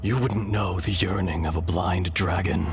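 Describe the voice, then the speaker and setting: A man voicing the calm, weary, benevolent spirit of an ancient dragon in a video game, speaking in a solemn, measured, poetic way. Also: low voice, gravelly. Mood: wistful, weary. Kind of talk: dramatic video game voice acting. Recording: loud game music under the voice.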